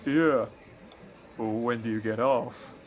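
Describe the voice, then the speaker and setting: Man playing a slow-talking, shady small-time crook. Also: mid-range voice, steady tone, natural voice. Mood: defensive, slangy. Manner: halting, slangy, repeats himself. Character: shady small-time male crook, paroled, cocky and slangy. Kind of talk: 1950s radio detective drama acting. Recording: faint background noise, home recording.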